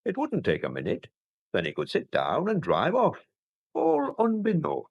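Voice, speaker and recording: A self-satisfied, deferential English sergeant in a radio drama. He is long-winded and pedantic, with hugely animated intonation and a slightly muffled sound. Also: a little high, moderate pace, gravelly, breathy edge, heavily acted.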